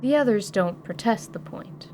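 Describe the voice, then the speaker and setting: A woman's voice: medium pitch, lively intonation, normal speed, warm tone, slight background noise. A woman reading scripted narration for a fantasy radio drama.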